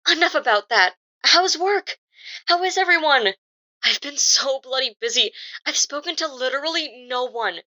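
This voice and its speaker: A woman's voice: high voice, animated, moderate pace, pushed and bright, low-quality recording. An actress playing an anxious young British woman in a naturalistic audio drama. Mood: cheerful, chatty. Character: anxious young woman doubting her own sanity.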